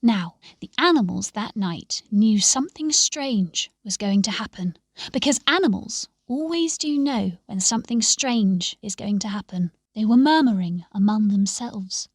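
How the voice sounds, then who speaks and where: A woman reading a scripted story aloud in a measured, expressive storytelling manner. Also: mid-range voice, breathy edge, no room sound, clean studio sound. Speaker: story reader.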